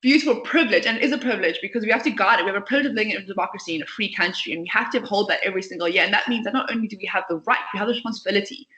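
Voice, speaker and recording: Chatty, outspoken young woman founder, fast bright delivery, roomy sound. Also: medium pitch. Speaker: CEO and co-founder, guest. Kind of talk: podcast interview. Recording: echoey room.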